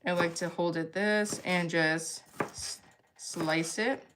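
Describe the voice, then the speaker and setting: A woman's voice: fairly low pitch, fairly even intonation, takes it slowly, background noise. A young woman hosting a livestream, chatting casually and giggling while she cooks and answers viewer comments. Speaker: livestream cooking host.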